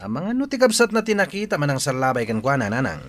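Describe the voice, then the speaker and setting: Animated, humble village man voiced by an actor. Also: medium pitch, quick pace, lightly acted. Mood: eager, scheming. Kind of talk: Ilocano radio comedy drama acting.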